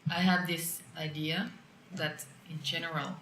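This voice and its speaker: Guy chatting real slow, higher creaky voice, echoey room. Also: little pitch movement, pushed and bright. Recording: echoey room, faint noise.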